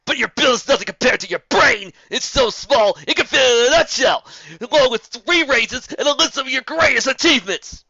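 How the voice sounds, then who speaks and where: A boastful male griffin bully, acted out by a story narrator, throwing scornful taunts in a loud theatrical sneer. The delivery is bright and forceful, swinging widely, in a dull, close recording. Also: sits high, lively intonation, normal speed, creaky, breathy edge, no echo, pitched above the performer's own voice, full character voice. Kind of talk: fan fiction story character voice.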